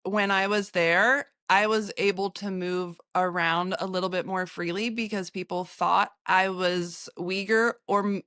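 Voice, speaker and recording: Smooth-voiced American woman recalling travels. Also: mid-range voice, steady tone, bright, forceful tone, no room sound, studio quality. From United States. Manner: halting, with many fillers and restarts. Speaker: photographer and interviewee. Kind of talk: recounting travels in an interview.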